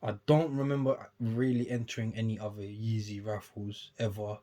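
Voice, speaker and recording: A young man, casually chatting and rambling through an unboxing on his vlog, often trailing off quietly between livelier bursts. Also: on the low side, little pitch movement, normal speed. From United Kingdom. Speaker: sneaker YouTuber.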